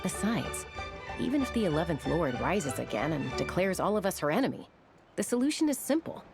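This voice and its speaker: Lower-voiced young woman, confident and defiant, loud game music under her. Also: big pitch swings, fairly quick. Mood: resolute. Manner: confident, defiant and warm-hearted. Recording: game soundtrack music behind.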